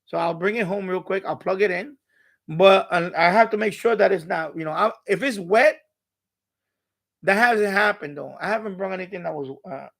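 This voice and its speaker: A man hosting a casual live stream, rambling and repeating himself in halting, unscripted sentences. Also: sits high, creaky, fairly bright tone. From New York City, USA.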